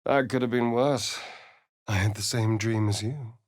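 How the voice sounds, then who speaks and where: An actor playing a sly, vain vampire rogue in a video game, with an arch, sardonic, flamboyant delivery. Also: male voice, medium pitch, steady tone, a little slow, dry recording, studio quality, natural voice. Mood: wry, brooding. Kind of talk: video game voice acting, dramatic lines and narration.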